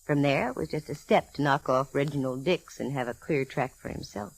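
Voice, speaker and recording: An actress playing a sharp, breezy female private eye in 1940s radio style, brisk and teasing. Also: extremely low pitch, gravelly, no echo, heavily acted, rough recording. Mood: brisk, knowing. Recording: vintage 1940s radio recording.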